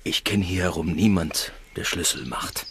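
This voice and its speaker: A curt, guarded gamekeeper in a radio drama. His voice sits very low and his words are few and grudgingly deferential. Light outdoor rain ambience lies behind him. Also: normal speed, a bit bright, slight background noise. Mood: curt, reluctant. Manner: terse, reserved.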